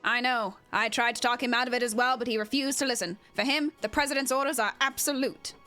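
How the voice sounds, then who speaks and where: Woman as theatrical male game announcer, high-pitched, forceful, indignant, emphatic, soft music underneath. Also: fairly even intonation, fast talker. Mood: grave. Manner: dramatic, emphatic, articulate. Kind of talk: amateur voice acting of game dialogue.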